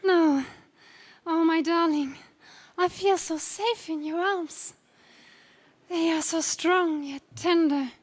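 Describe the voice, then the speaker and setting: Woman acting a very high-pitched adoring damsel. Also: takes it slowly, pauses often, no echo. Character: adoring, easily frightened damsel in distress. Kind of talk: 1930s radio serial acting.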